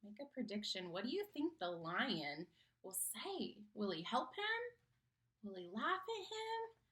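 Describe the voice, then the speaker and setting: A woman reading a children's picture book aloud in an expressive, theatrical manner, engaging young listeners. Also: medium pitch, unhurried.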